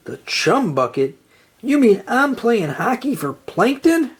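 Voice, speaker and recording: A storybook reader voicing an excitable, earnest, nervous young male cartoon sea sponge. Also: mid-range voice, slow pace, breathy edge, pitched above the performer's own voice, full character voice. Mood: nervous, puzzled. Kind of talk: children's storybook character read-aloud.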